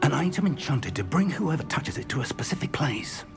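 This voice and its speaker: Male, British, older scholarly professor of magic, protective and measured, very varied intonation, game cutscene with music underneath. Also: on the high side, very animated, creaky. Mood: wary, curious. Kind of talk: video game cutscene acting.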